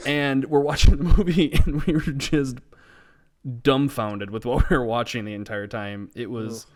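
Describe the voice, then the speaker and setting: A man's voice: mid-range voice, fairly even intonation, normal speed. A man co-hosting a podcast in casual chat, talking in a rambling, exasperated and incredulous way. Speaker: podcast co-host. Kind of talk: casual chat, film ranting.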